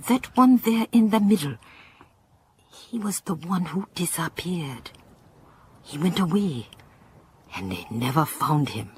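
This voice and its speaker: A woman's voice: deep voice, a little hiss or hum. An actress playing a wary, opinionated old village woman in a radio drama, with a Southern African accent, a cracked voice and emphatic repetitions. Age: older.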